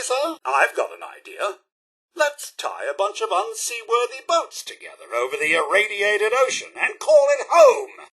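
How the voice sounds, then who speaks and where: A loyal, fussy robot butler for a video game, speaking in a formal British accent. The voice sits fairly high and is bright and crisply forceful, with very lively rises and falls. The manner is prim, theatrical and sardonic. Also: male voice, exaggerated voice. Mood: excited, sardonic. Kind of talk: video game companion voice acting.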